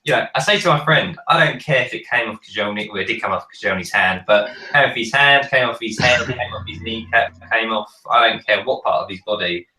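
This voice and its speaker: A man with a croaky, cold-hoarsened voice, chatting casually with many ums on a fan podcast. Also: fairly low pitch, a little fast, few pauses, pushed and bright, roomy sound, recorded from a distance, poor audio. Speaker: podcast panelist. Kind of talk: casual chat.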